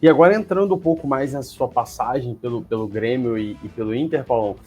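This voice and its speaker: Male interviewer, Brazilian accent, slightly raspy, informal and conversational, soft music behind. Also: medium pitch, fast. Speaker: interviewer and host. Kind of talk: casual sports interview.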